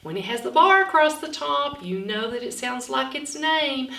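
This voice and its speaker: A woman reading and teaching phonics to young children in an expressive, instructional way, sounding out words. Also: sits high, moderate pace, gravelly. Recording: roomy echo, distant from mic.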